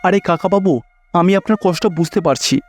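A man's voice: high voice, normal speed. A man playing a kindly, helpful young traveller in a cartoon, polite and reassuring. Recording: faint music behind.

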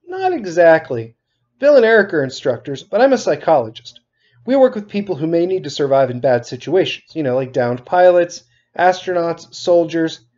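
A man's voice: fairly high pitch, darkish tone. A middle-aged man, a teacher reading aloud to students from his couch at home. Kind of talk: read-aloud of a children's novel with casual introduction. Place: living room at home, on a couch. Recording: dull, lo-fi webcam sound.

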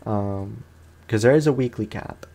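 A young man giving casual, slangy let's play commentary with animated, playfully exasperated reactions, as a gaming YouTuber. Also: fairly low pitch, a little slow, vocal fry, slightly rough. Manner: slangy, informal.

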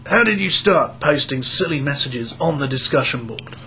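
A man's voice: mid-range voice, lively intonation, moderate pace, pushed and bright, a little hiss or hum. A man playing an earnest, self-important British TV reporter in a mock investigative news show, with dramatic, portentous delivery. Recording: roomy voice with music behind.

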